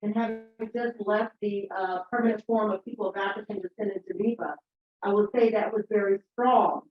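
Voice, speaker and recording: A woman's voice: mid-range voice, steady tone, fairly quick. Earnest woman speaking up in an echoey hall. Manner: earnest, enthusiastic, organized in numbered points. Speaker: audience member. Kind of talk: public comment at a summit. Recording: echoey room, dull lo-fi sound.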